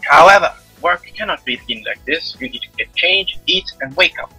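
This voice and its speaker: Man voicing a bright, bossy young warrior woman. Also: a little high, expressive, normal speed, breathy edge, soft background music, voice pushed up, full character voice, low-quality recording. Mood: commanding. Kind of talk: visual novel character reading.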